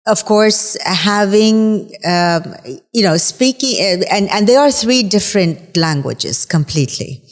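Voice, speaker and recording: A woman from Bahrain whose first language is Farsi, speaking as an interview guest in a reflective, halting way with many fillers. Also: mid-range voice, animated, big-room sound. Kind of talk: conversational interview.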